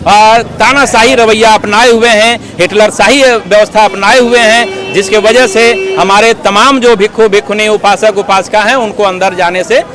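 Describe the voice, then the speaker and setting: A man's voice: sits high, steady tone, moderate pace, hardly any pauses. A man being interviewed as an activist, speaking formally and earnestly as he argues his case at length, with habitual filler phrases. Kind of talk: outdoor street interview, explanatory speech.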